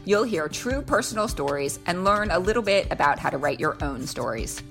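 Lower-pitched woman co-hosting a podcast. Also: lively intonation, a little fast, vocal fry, fairly bright tone, faint music behind. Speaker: podcast co-host. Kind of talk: scripted podcast narration.

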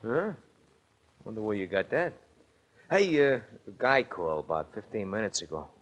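An actor playing a detective's casual, curious sidekick in a 1940s radio drama, breezy and inquisitive. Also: male voice, on the low side, very animated, moderate pace. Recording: vintage 1940s radio recording.

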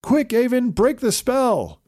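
A man voicing a hot-headed, emotional male troll in a children's story, exclaiming loudly and emphatically. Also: very high-pitched, sing-song, slow, dry recording, clean studio sound, voice pushed up, exaggerated voice. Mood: urgent.

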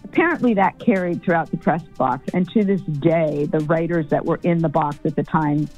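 Lady ex-team exec in an interview, loud and blunt, lowish voice, kinda dull sound w/ faint music under. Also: moderate pace. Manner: loud, forthright storyteller. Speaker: former football team executive. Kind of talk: documentary interview.

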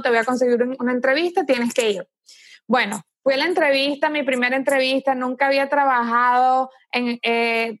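A woman's voice: fairly high pitch, no room sound. Venezuelan woman, dry close sound. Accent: Venezuelan Spanish. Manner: thoughtful storyteller, frequent "¿no?" tags and "eh" pauses. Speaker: tech startup founder, guest. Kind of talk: conversational interview answers.

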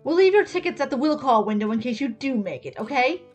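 A woman voicing a condescending, scolding grandmother in a story, brisk and unhelpful. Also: high voice, expressive, soft background music.

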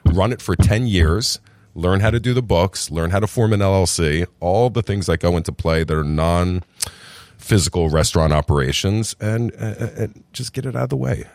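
A middle-aged man with a very low, deep voice tells his story in an easygoing, chatty way. The sound is dry and close, with no room around him. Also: normal speed, creaky, no room sound. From New Jersey. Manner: relaxed, chatty, calls the host "brother". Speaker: restaurant group founder, podcast guest. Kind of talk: casual interview storytelling.

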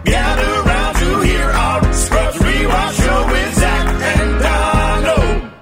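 A woman's voice: very high-pitched, slow. A woman singing a podcast theme song over pop music.

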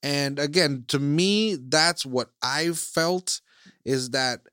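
A man's voice: fairly high pitch, takes it slowly, a bit bright, smooth, no room sound, clean studio sound. A man from Iceland, co-hosting a casual chat, who rambles and keeps circling back to his points.

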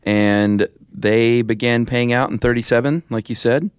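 A man's voice: mid-range voice, fairly even intonation, measured pace, clean tone, dry recording, clean studio sound. An American man co-hosting a podcast, explaining things in a laid-back, colloquial, chatty way. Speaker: podcast co-host. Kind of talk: casual chat explainer.

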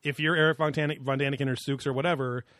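A man's voice: mid-range voice, steady tone, fast talker, a bit bright, no room sound, studio quality. A man co-hosting a casual podcast chat, rambling, laughing often and swearing casually. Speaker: podcast co-host.

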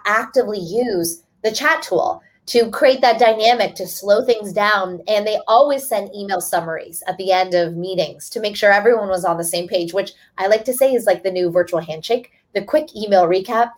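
A woman's voice: mid-range voice, moderate pace. A woman author speaking as a guest in a webinar, explaining earnestly and restarting phrases now and then. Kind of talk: webinar talk.

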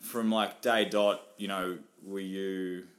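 A man's voice: low voice, flat delivery, slow, fairly bright tone. A man with an Australian accent hosting a casual interview, asking rambling questions full of fillers. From northern New South Wales, Australia. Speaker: podcast host.

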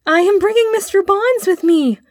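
Woman voicing an excitable high-pitched young boy. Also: normal speed, no echo, studio quality. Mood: excited, exclaiming. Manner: breathless exclaiming, earnest and deferential. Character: eager, excitable, earnest young boy, polite and wonderstruck. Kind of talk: storybook character reading.

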